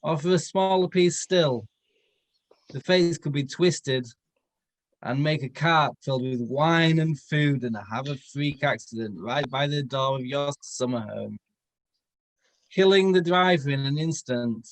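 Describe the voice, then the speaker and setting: A man's voice: a little high, measured pace, poor audio. A man reading a comic story aloud in a theatrical manner, now and then correcting his own slips. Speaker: comedy performer reading fan fiction. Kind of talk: dramatic comedic story read-aloud.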